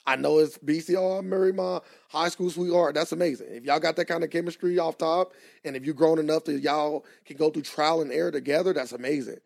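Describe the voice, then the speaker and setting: A man in his twenties talks through a casual podcast chat with a fairly high, gravelly voice. He is animated and laughs easily, stretching out words to hammer a point home. The sound is dry and close. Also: dry recording. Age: 20s. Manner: animated, laughs often, drawn-out emphatic points. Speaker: podcast co-host.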